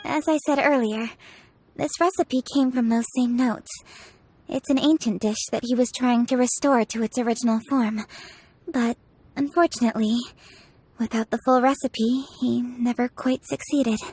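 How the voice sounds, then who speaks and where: A woman's voice: on the high side, fairly even intonation, normal speed, darkish tone, breathy edge, dry recording. A woman voicing a dignified, reflective young female official in a video game, formal and composed, with articulate phrasing. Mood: reflective, nostalgic. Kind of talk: video game character dialogue. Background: music.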